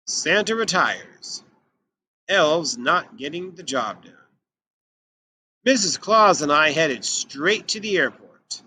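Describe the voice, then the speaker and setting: Male children's storybook reader, higher-pitched and bright, expressive and emphatic delivery. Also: a little hiss or hum, poor audio. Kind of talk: expressive read-aloud of a picture book for children.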